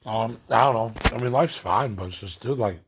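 A man's voice: lowish voice, fairly quick, noisy background. A young man from Illinois, stoned and ranting in a meandering, casual way, filling his talk with "like" and trailing off mid-thought. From Illinois, USA. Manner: meandering, filler-heavy, lots of "like". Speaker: vlogger. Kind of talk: rambling late-night rant.

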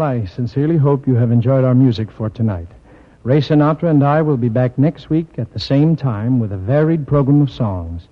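A man, the singer hosting the show, giving a courteous, gracious sign-off in 1950s radio style. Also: medium pitch. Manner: courteous, gracious closing words. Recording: dull old radio transcription with music.

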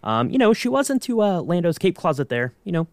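A man's voice: sits high, very animated, fairly quick, no room sound. A man co-hosting a podcast, chatting casually and playfully in mock-serious fan banter. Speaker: podcast co-host.

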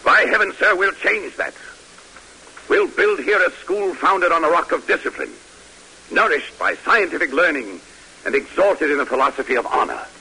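A man's voice: fairly high pitch, lively intonation, normal speed, vocal fry, sharp tone, heavily acted, rough recording. An actor playing a stern, dignified army major in old-time radio drama, formal and commanding in his oratory. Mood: fervent, proud. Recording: hissy vintage radio recording.

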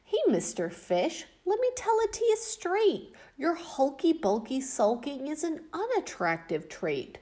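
A woman voicing a blunt, outspoken octopus in a storybook, speaking in a very high voice. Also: big pitch swings, a little slow, hardly any pauses, mellow.

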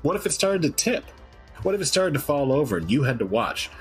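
A man's voice: on the high side, very animated, a little fast, slightly rough, soft background music, pitched above the performer's own voice, heavily acted. A man playing an unnerved, obsessed interview subject in a dramatic reading, anxious and emphatic. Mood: anxious dread.

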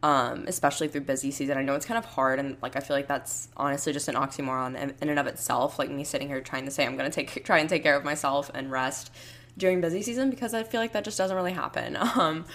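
A young woman in her twenties hosting a solo podcast from home, her voice hoarse and raspy, rambling casually with lots of fillers. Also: sits low, quick pace, a bit bright. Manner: rambling, heavy use of "like" and "um". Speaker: podcast host.

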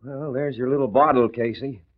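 An actor in an old radio drama playing a nosy, wisecracking young newspaper reporter with a breezy way of talking. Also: male voice, medium pitch, steady tone, moderate pace, natural voice. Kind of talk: 1940s radio acting. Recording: tinny old radio recording.

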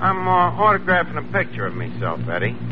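An actor playing a wisecracking, pretentious lowbrow New York tavern manager with mock-refined diction in a 1940s radio comedy. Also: male voice, sing-song, a little fast, music in the background. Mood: sardonic, breezy. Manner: sardonic, mock-refined, mangles grammar and fancy words. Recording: tinny, noisy 1940s radio recording.

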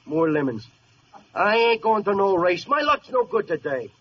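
Brash, high-pitched racketeer boss, muffled radio. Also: male voice, big pitch swings, normal speed. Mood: gruff, annoyed. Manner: tough-guy slang with mangled grammar. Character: brash, vain, dim-witted small-time racketeer boss, tough but lovesick. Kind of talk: 1940s radio comedy acting. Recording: muffled, faintly noisy old radio recording.

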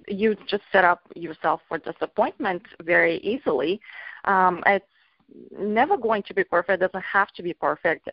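A middle-aged woman from Bulgaria, a children's author being interviewed, talking in a hesitant way with many ums and soft laughs. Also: on the low side, dry recording. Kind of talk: casual interview talk. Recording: dull lo-fi audio.

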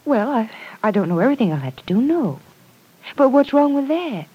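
An actress playing an earnest, stubborn young woman in an old-time radio serial, expressive and gently insistent. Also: fairly high pitch, a little fast, slightly rough, highs cut off. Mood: firm, patient. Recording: vintage radio drama recording.

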